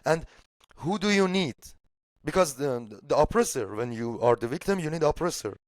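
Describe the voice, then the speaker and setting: A man's voice: a little high, lively intonation, moderate pace, dry recording, studio quality. A man from Iran with an Iranian accent and Persian as his first language, talking casually about politics in a blunt, emphatic way with casual swearing. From Shiraz, Iran. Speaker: political podcast speaker.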